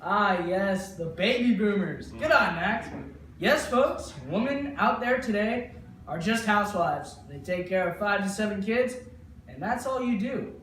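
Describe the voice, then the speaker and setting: A man's voice: high-pitched, expressive, unhurried, a bit bright, slight background noise. A young man presenting a mock 1950s newscast as its anchor, with a hammy, folksy delivery. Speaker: news anchor. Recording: echoey room with music behind.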